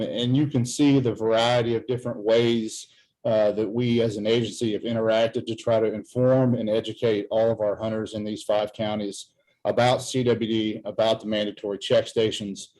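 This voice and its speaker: A man's voice: fairly low pitch, level tone, normal speed, a little mellow, poor audio. A man presenting for a government wildlife agency in a formal, earnest spoken update.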